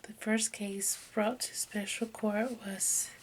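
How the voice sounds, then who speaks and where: A woman reading aloud in ASMR style in a soft, breathy whisper and hushed speech, halting as she goes. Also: mid-range voice, steady tone, rough recording. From United States. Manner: halting, stumbles over names and words. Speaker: ASMR video creator. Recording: faint background noise.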